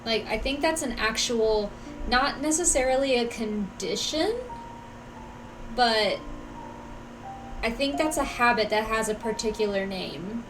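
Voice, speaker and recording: A woman with a fairly high voice comments casually as she streams a game live. Game music plays clearly behind her. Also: lively intonation, measured pace, long pauses, rough recording.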